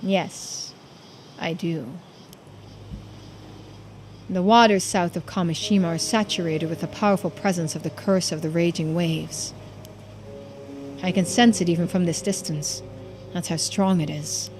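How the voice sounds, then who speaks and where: A woman voicing a diligent assistant investigator in a mystery game, giving formal, measured reports. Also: fairly low pitch, steady tone, over music, natural voice. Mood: formal, serious. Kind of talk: voiced visual-novel dialogue.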